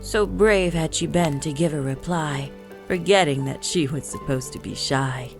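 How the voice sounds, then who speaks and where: A woman storyteller reading a rhyming Christmas poem in a measured, lilting delivery. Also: low voice. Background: music.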